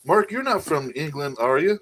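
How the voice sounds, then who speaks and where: A man's voice: fairly high pitch, very animated, normal speed, fairly bright tone. A man hosting a podcast, brash and joking, with casual swearing. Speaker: podcast host.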